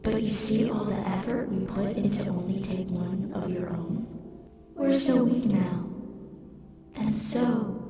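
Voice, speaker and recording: A woman voicing a weary, mournful, starving alien creature in an audio drama, plaintive and drawn-out, with a dreamlike echo. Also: medium pitch. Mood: weary pleading. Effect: heavy dreamlike echo. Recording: very echoey, distant, under loud music.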